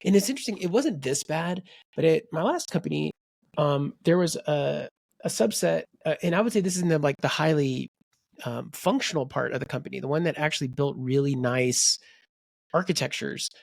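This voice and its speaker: A man's voice: fairly high pitch, a little fast, vocal fry, dry recording, studio quality. A man co-hosting a book podcast, rambling in casual chat and quoting people in voices. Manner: rambling, animated, quotes people in character voices. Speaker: podcast co-host.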